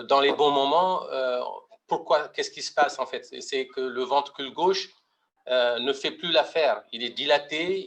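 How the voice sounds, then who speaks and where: Hesitant man with a gravelly voice. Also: medium pitch, a bit bright. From Mauritania. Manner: hesitant, many fillers and restarts. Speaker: pediatric heart surgeon presenting. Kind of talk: medical webinar lecture.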